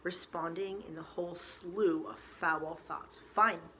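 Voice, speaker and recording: A woman storyteller reading a novel aloud in expressive, dramatic narration with deliberate emphasis. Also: fairly low pitch, poor audio. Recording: faint background noise.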